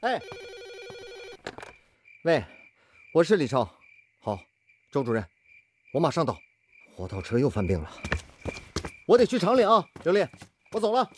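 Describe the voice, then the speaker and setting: A man's voice: fairly high pitch, sing-song, normal speed, long pauses, slight background noise. A man acting a young, eager and slightly bashful steel-mill technician in a Chinese radio drama, speaking with sincere devotion. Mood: urgent. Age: young adult.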